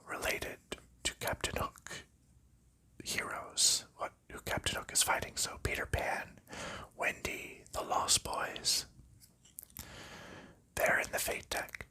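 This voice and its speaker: A man whispers through an ASMR board game walkthrough, taking his time over every rule and piece. His whisper is airy and very bright, with a sharp, crisp edge, and his pace is slow and drawn out. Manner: soft unvoiced whisper, unhurried explaining. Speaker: ASMR creator.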